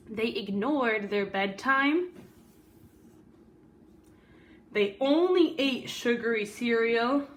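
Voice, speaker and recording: A woman voicing an earnest, weary good egg who tells his own story in a deliberate, dramatic storybook delivery. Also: high voice, fairly bright tone, full character voice. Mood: exasperated, earnest.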